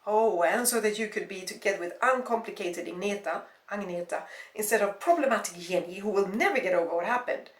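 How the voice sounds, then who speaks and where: A young woman played by a female reader, hurt and cold, standing her ground in a storybook scene. She speaks at a middling pitch with firm defiance. The room echoes around her. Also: animated, brisk, few pauses, far from the mic, close to an everyday voice. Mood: bitter. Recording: roomy, echoing voice recording.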